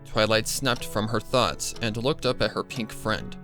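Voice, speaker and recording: A man narrating a scripted horror story in a grave, measured, dramatic way. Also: lowish voice, animated, fairly bright tone. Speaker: fan fiction narrator. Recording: music bed behind the voice. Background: music.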